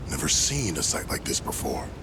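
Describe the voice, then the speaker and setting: A folksy old-salt type lookout in a game, real deep n slow, rumbly noise behind. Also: male voice, sing-song. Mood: grave warning. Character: wry, watchful male seafaring lookout ally. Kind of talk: dramatic video game cutscene acting.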